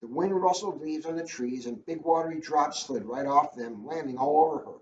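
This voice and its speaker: A man's voice: a little high, moderate pace, roomy sound. A man, a police officer, reading a children's storybook aloud in a casual, informal style. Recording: dull lo-fi audio, faint noise.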